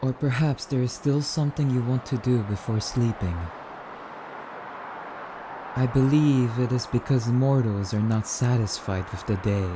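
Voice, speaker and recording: A man playing a suave, courtly immortal vampire in an ASMR roleplay, speaking in formal, theatrical, rambling musings with drawn-out phrases. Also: mid-range voice, background noise, lightly acted. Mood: philosophical, musing.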